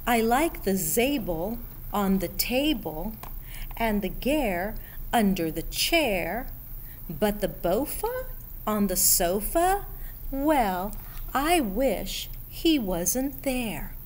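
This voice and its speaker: A middle-aged woman reading a picture book aloud to children in an expressive, deliberate way, pausing as she addresses young listeners. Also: mid-range voice. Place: school library room, indoors. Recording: steady background room noise.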